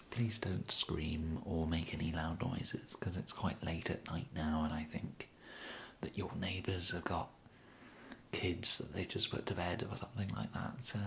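A young man with an English accent whispering an ASMR roleplay as an awkward, polite kidnapper, halting and apologetic, close to the microphone. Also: low voice, gravelly. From England. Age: young adult. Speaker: ASMR performer. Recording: steady background hiss.

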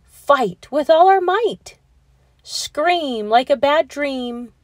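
Woman voicing a plucky, aggrieved living letter, animated pitch. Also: drawn-out words, no echo, exaggerated voice. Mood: defiant.

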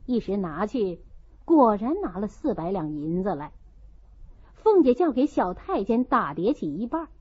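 A woman narrates a classical novel in a slightly high, husky voice. She takes her time. The audio is a bit dull. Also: a little mellow. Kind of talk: scripted literary narration.

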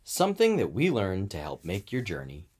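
Very low-pitched man, casual podcast co-host. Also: wildly swooping pitch, moderate pace, darkish tone, breathy edge.

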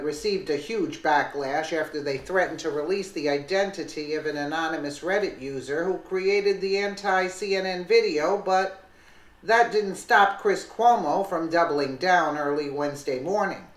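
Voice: mid-range voice, unhurried. Commentator, reads an article aloud, casual asides, roomy sound. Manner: reads aloud with slips and restarts, then chats casually. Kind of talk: reading and commenting on a news article.

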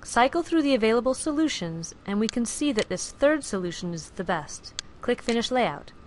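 Woman narrating a software tutorial. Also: a little high, moderate pace, slight background noise. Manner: clear, even instructional delivery. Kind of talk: scripted screencast narration.

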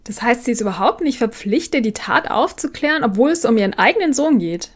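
A woman's voice: fairly high pitch, animated, brisk. A woman hosting a podcast, asking prepared interview questions and moderating. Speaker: podcast host.

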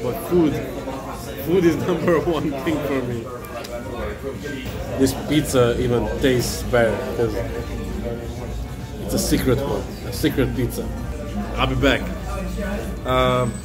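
A man's voice: mid-range voice, slow pace, long pauses. A man in his thirties from Transylvania, vlogging a night out in a casual, joking way, filming himself with a camera at arm's length. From Transylvania, Romania. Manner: playful, laughing, jokey. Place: crowded nightclub and city streets at night. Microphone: camera held at arm's length. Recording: loud club music and crowd behind.

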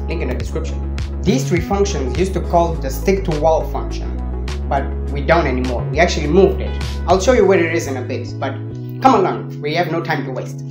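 A young man presents a scripted programming lesson with a playful, step-by-step delivery. He speaks into a handheld mic in an echoey indoor room. Music plays clearly underneath his voice. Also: fairly high pitch. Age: young adult. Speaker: tutorial host. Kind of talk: scripted coding tutorial narration. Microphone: handheld microphone with furry windscreen. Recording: roomy echo, music underneath. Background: background music.

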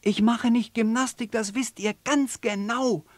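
Man playing a small grumpy kangaroo in a storybook, high-pitched and shrill. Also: fairly even intonation, a little slow, no echo. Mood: annoyed. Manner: shrill scolding. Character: small cross young kangaroo.

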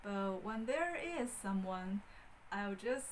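A woman in her thirties with a Mandarin Chinese accent talks slowly to camera, pausing often and filling gaps with ums. She holds a wired mic in a small room. A faint hiss sits under her voice. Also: mid-range voice, animated, rough recording. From Beijing, China. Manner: hesitant, many fillers and restarts. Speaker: vlogger. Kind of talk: casual talk to camera. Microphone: wired handheld mic.

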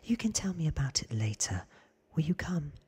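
A man voicing a cool, commanding, secretive vampire woman from a storybook in a clipped, breathy ASMR whisper. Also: on the high side, very animated, normal speed, darkish tone, pitched above the performer's own voice, heavily acted.

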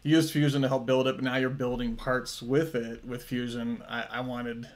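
Host: man, 40s, enthusiastic live-stream host on a video call, average pitch. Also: flat delivery, moderate pace.

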